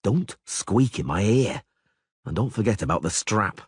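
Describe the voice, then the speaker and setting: A male narrator voicing a weary grown monster hunter who speaks in a curt, dry, gruffly sarcastic way. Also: on the low side, animated, a little slow, dry recording, exaggerated voice. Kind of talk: audiobook character voice.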